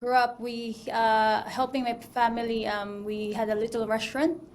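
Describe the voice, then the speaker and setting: A woman's voice: fairly high pitch, little pitch movement, normal speed. A woman in her thirties from the Philippines, talking as a guest in a casual interview, with plenty of fillers and restarts. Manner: chatty, many fillers and restarts. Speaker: mortgage broker guest.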